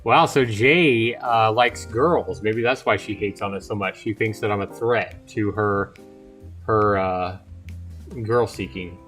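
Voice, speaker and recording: A man's voice: lowish voice, slow, a bit bright. A man livestreaming a visual novel, reading it out casually and dropping informal, chatty asides in between. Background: music.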